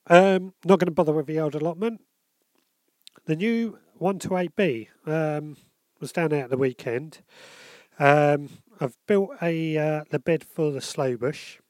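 A man's voice: fairly high pitch, a little slow, a little mellow, dry recording. A man with a bunged-up cold, hosting a casual solo podcast and rambling with lots of ums and self-corrections. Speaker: podcast host.

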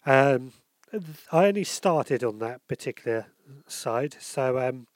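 A man's voice: mid-range voice, lively intonation, unhurried, dry recording. A man with a bunged-up cold, hosting a casual solo podcast and rambling with lots of ums and self-corrections. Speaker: podcast host.

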